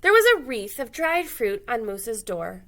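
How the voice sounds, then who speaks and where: Female storyteller for a children's picture book: bright, fairly high, expressive, questioning her young listeners. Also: a little slow. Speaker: read-aloud storyteller, teacher.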